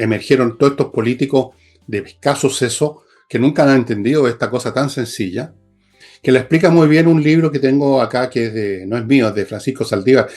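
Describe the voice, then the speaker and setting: A man's voice: medium pitch, darkish tone. A man with a Chilean accent giving opinionated political commentary as a host, emphatic, scornful and blunt, with coarse slang.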